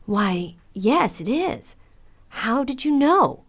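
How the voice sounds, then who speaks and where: A woman playing a mother and nun in an audio drama, with a deliberate, dramatic delivery. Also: on the high side, sing-song, slightly rough, heavily acted. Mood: surprised. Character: stern, busy mother, later a reflective nun.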